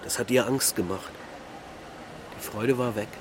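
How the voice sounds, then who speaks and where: A man's voice: mid-range voice, a little slow, natural voice. An actor playing a melancholic middle-aged husband and father in a naturalistic radio drama, musing reflectively in short sentences. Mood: pained, remembering. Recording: loud urban traffic ambience.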